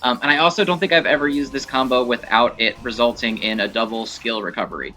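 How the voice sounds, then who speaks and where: A man giving casual gameplay commentary, thinking aloud in a halting, self-correcting way as he explains things. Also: mid-range voice, bright, forceful tone, slight background noise. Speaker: gaming video host. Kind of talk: casual rambling game commentary.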